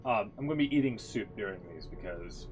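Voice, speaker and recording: Low-voiced male streamer, a bit unwell. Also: animated, quick pace, slight background noise. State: under the weather with a headache. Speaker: live streamer. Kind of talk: casual commentary on a livestream. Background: music behind the voice.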